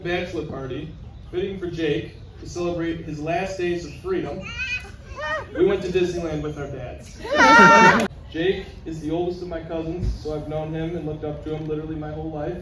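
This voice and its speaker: Guy reading a wedding speech, fairly high voice, far away, echoey hall, noisy. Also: steady tone, moderate pace, loud music behind the voice. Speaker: wedding speech giver, cousin of the groom.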